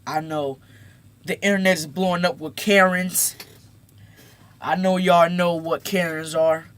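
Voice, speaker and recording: A teenage boy talking casually to his viewers, halting and repeating words, then growing emphatic as he makes his point. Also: fairly high pitch, fairly even intonation, fairly bright tone. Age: teens. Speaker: YouTuber. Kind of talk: casual talk to camera. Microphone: phone.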